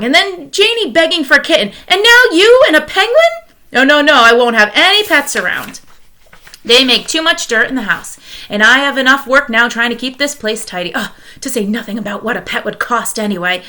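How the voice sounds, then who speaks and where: A woman's voice: medium pitch, fairly even intonation, fast, hardly any pauses, lightly acted. A woman reading aloud and voicing a fussy, worried middle-aged housewife who speaks sharply and fretfully. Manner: sharp, fretful, shocked exclamations.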